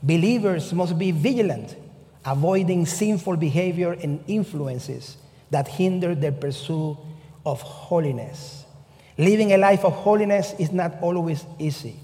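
A man from Cuba with a Cuban Spanish accent, a pastor delivering a sermon with deliberate, emphatic phrasing. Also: fairly high pitch, warm tone, big-room sound. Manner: deliberate, emphatic preaching with slow drawn-out phrases.

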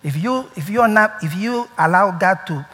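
Animated male pastor in reverberant church. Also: normal speed. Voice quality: slightly high, lively preaching voice with nonstandard English grammar. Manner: animated, storytelling, emphatic. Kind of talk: sermon. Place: church sanctuary.

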